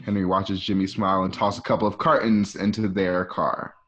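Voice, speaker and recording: A man's voice: sits low, level tone, brisk, fairly bright tone. Dull-sounding male narrator reading stage directions. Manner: stumbles and corrects himself while reading. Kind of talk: scripted narration for an online radio drama. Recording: dull lo-fi home recording.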